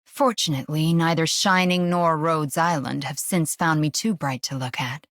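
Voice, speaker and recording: A woman's voice: low voice, fairly even intonation, normal speed, fairly bright tone, no room sound, studio quality. An actress voicing a noble, composed female knight in a video game, formal and dignified. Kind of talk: scripted game character voice lines.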